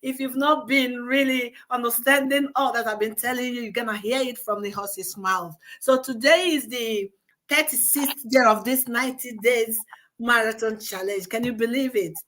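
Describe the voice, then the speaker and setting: A woman's voice: on the high side, moderate pace, a bit bright, a little hiss or hum, rough recording. A woman hosting an online chat show over a video call, who talks chattily with plenty of fillers and keeps encouraging her guest. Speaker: host.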